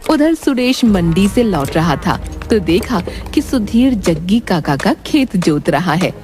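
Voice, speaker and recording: A woman's voice: mid-range voice, sing-song, a little slow. A woman narrating a scripted children's story. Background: faint music.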